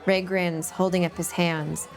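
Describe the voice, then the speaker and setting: A woman's voice: fairly low pitch, little pitch movement, soft background music, a faint crowd. Upbeat woman host reading a script. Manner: brisk, upbeat, laughs easily. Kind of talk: reading screenplay stage directions.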